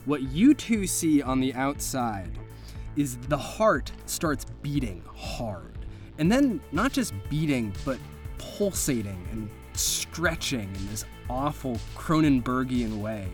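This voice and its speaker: Slow-paced man narrating a tabletop game. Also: mid-range voice, very animated, faint music behind. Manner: vivid, descriptive storytelling. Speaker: game master and player.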